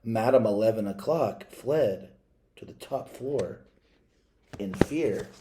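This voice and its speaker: A man reading a storybook aloud in an expressive, deliberate storytelling manner. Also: fairly low pitch. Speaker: read-aloud reader.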